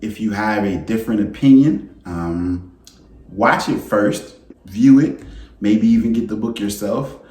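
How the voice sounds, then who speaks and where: Man, children's story read-aloud, deliberate and expressive, lowish mellow tone, echoey room. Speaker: school principal reading to students. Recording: roomy, echoey indoor sound.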